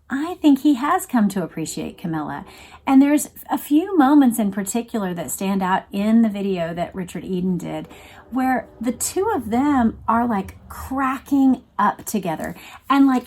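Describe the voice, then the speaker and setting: A woman's voice: mid-range voice, darkish tone. A woman hosting a read-along, reading aloud and commenting in a conversational, emphatic way. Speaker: host of a read-along video series.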